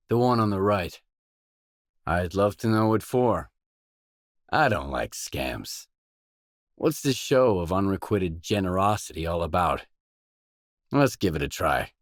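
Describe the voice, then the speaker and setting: A man's voice: sits low, no room sound. An actor playing a guarded, determined male stalker mercenary in a video game, speaking tersely and matter-of-factly with dry delivery. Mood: wary, dry. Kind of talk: video game character dialogue lines.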